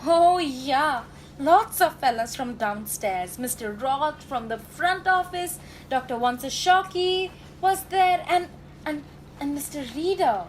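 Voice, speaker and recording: A teenage girl plays a gossipy, sentimental factory girl in a radio play. She speaks high and slowly, with folksy dramatic phrasing and faint noise behind. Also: expressive, poor audio. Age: teenage high school student.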